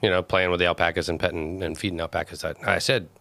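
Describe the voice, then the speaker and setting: Man, co-host, talks fast, lowish voice, joking. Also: flat delivery, gravelly, fairly bright tone. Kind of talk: casual interview chat.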